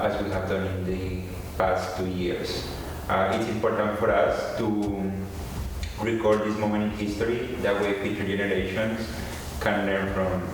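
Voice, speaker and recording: A man opens and moderates a community forum, speaking in a measured way with many small hesitations. His lowish voice is picked up from a distance. A reverberant hall and steady background noise surround him. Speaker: moderator, society trustee. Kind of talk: formal welcome and moderation. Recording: echoey hall, distant mic, noisy.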